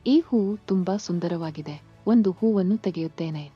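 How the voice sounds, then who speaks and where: A woman voicing an earnest, hopeful young village daughter-in-law in an animated moral story, polite and pleading. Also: on the low side, moderate pace, slightly rough, faint music behind. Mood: wistful, wondering. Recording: muffled lo-fi sound.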